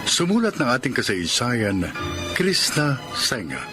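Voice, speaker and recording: Music plays behind a Filipino man announcing a radio drama. He reads at a slow, measured pace with dramatic swings in pitch. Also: sing-song. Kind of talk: scripted credits and introduction announcing.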